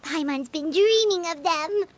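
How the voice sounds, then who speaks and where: A woman voicing a tiny, cheerful, food-loving fairy companion in a video game, with a squeaky childlike voice and talk of itself in the third person. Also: a little slow. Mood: excited, delighted. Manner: speaks of self in third person. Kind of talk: video game character acting.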